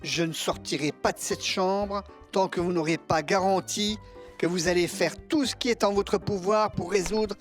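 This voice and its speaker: A stubborn, dutiful attendant played by a man speaks in measured, over-formal phrases with a pompous stiffness. It is scripted French audio drama, with clear music playing behind the voice. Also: high-pitched, fairly even intonation, natural voice. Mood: firm, formal negotiating.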